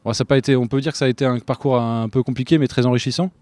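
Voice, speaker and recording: A man's voice: medium pitch, fairly even intonation, racing, a little mellow, no echo. A man interviewing a musician, asking long, hesitant questions full of fillers.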